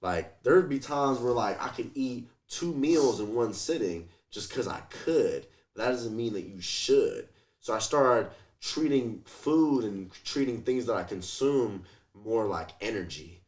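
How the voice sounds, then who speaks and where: A man's voice: mid-range voice, animated, measured pace, a little mellow. A man talking casually as an interview guest, telling stories in a slangy, sweary way and acting out what people said. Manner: slangy, swears, acts out quotes, frequent "like" and "you feel me". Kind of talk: casual, animated storytelling chat.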